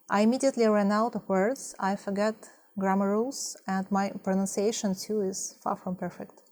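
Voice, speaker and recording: A woman in her thirties from Russia with a Russian accent, talking to camera in a soft, hesitant way with many fillers. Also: a little high. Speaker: language-learning vlogger. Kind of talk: partly scripted talk to camera.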